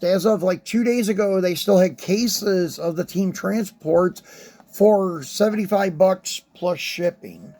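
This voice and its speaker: A man with a fairly high voice that is hoarse and worn from a sinus infection. He chats in a loose, rambling way, full of ums and uhs, as he hosts a die-cast collector unboxing. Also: a little mellow. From West Virginia, USA. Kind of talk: casual unboxing chat.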